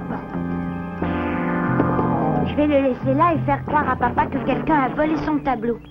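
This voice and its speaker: A tiny, very high woman-played beaver boy from a children's cartoon, plucky and full of boasts. He talks in self-assured exclamations. Loud music plays behind a dull, lo-fi soundtrack. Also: steady tone, moderate pace, natural voice. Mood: worried, scheming. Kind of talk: dubbed children's cartoon acting.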